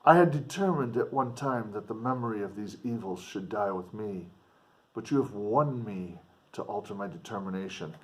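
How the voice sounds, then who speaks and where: A man voicing a despairing but noble stranger from a classic novel, speaking solemnly and deliberately. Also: fairly low pitch, expressive, pitched below the performer's own voice, close to an everyday voice, poor audio. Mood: solemn warning. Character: grief-worn, earnest male stranger, despairing yet noble.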